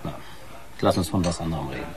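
An actor playing a pushy, wry newspaper reporter in naturalistic radio crime drama dialogue, probing and casual. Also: male voice, sits low, fast, darkish tone, lightly acted. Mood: wry, needling. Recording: radio play with background ambience.

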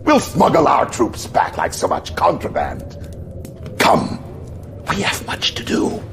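A man's voice: lowish voice, huge pitch swings, slow pace, creaky, music in the background, exaggerated voice. An actor playing a middle-aged, loyal military aide in a game, dramatic and deferential toward his lord. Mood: excited. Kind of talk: video game cutscene acting.

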